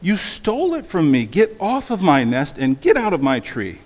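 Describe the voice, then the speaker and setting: A man's voice: a little high, wildly swooping pitch, moderate pace, a little mellow, voice pushed up. A man voicing a lazy, greedy female bird from a storybook, sputtering with indignant exclamations. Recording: long hall reverb.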